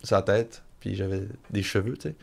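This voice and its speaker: A man with a Canadian French accent hosting a podcast, easygoing and quick to agree in casual chat. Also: lowish voice, vocal fry. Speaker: podcast host.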